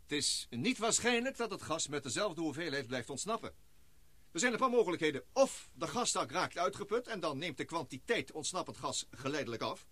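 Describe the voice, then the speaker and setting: An actor playing a formal male expedition leader and scientist who speaks in measured, speechmaking tones in a radio play. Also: a little high, very animated, bright, forceful tone, slightly rough, no room sound, full character voice. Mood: explaining.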